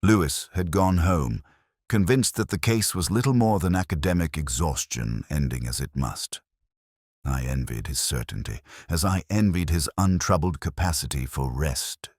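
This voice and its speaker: A man narrating a first-person detective story in a measured, literary, melancholy manner. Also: a bass voice, animated, darkish tone, no echo, clean studio sound.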